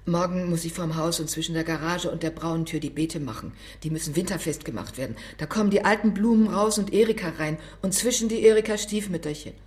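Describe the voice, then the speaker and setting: A woman's voice: lowish voice, quick pace, few pauses, darkish tone, close to an everyday voice. A woman playing a fussy older mother. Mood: chattering. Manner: busy, talks past her daughter. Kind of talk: radio play acting.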